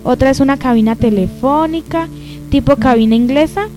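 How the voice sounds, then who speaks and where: A woman's voice: high-pitched, moderate pace, warm tone, faint music behind, lightly acted. A young woman acting as a curious girl searching for answers in an amateur radio drama. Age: young adult.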